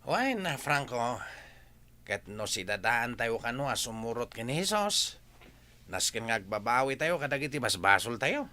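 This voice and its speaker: A man performs an earnest, devout inmate in an Ilocano radio drama. His tone is extremely sharp and bright. The intonation moves widely as he urges with conviction. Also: mid-range voice, very animated, measured pace. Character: earnest, devout male fellow prisoner.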